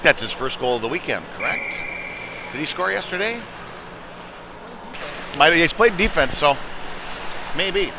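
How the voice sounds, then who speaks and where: A man's voice: on the high side, animated, measured pace, pauses often. A man doing live play-by-play of a youth hockey game in short, clipped running phrases. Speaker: play-by-play commentator. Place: live at an ice hockey venue. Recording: noisy rink, clear background noise.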